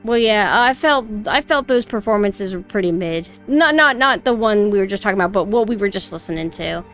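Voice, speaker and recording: A young woman with a high voice, chatting casually and snarkily on a stream, her close mic clipping on loud peaks. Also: lively intonation, a little fast, soft background music. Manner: chatty, rambling, mockingly snarky. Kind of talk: casual reaction stream chat. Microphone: close mic that clips loud peaks.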